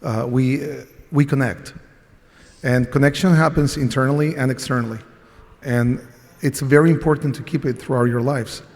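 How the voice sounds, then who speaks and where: A man's voice: medium pitch, fairly even intonation, moderate pace, a little mellow. A man from Chile speaking as a panelist at a conference, reflective and earnest, often restarting his sentences. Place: conference hall. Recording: long reverb of a conference hall.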